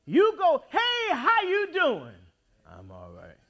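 A man preaching a sermon in a church, with dramatic swings between loud outbursts and quiet, drawn-out phrases. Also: very high-pitched, huge pitch swings, lo-fi sound. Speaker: pastor. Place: church sanctuary.

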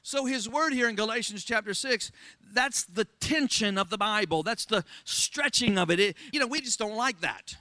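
A very high, piercing male voice with a Southern accent, preaching with fervor and constantly calling on the congregation to answer back. The sound is dry and close, with no room echo. He is a pastor from Florida in full sermon mode. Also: animated, normal speed, bright, forceful tone. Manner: call-and-response preaching, asks the congregation for amens. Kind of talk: Pentecostal sermon.

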